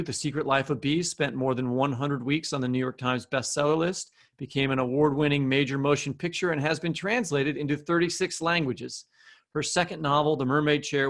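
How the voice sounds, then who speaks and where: A man with an American accent co-hosting a podcast, making introductions and asking questions in a conversational way. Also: mid-range voice. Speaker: podcast co-host.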